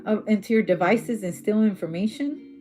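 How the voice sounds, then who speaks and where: A woman's voice: medium pitch, normal speed, music in the background. A woman doing a casual tarot reading who is losing her voice, talking bluntly and swearing freely. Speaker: tarot reader.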